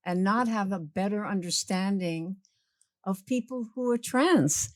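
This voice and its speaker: A woman's voice: medium pitch, unhurried, a little mellow, dry recording. Woman interviewer, dry close sound. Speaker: interviewer, fellow director. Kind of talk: Q&A conversation on stage. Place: theater.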